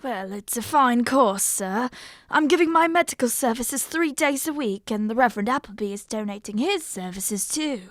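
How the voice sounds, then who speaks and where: A woman acting a loyal gentleman sidekick in an amateur audio drama, polite, proper and earnest. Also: a little high, expressive, moderate pace, few pauses, no echo, studio quality.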